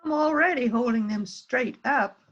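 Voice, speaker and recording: Woman as innocent-sounding suspect, very high voice. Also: normal speed, close to an everyday voice. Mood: caught, baffled. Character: small, guileless-sounding female murder suspect spinning an alibi. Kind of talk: amateur readers theater mystery. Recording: dull, lo-fi online call audio.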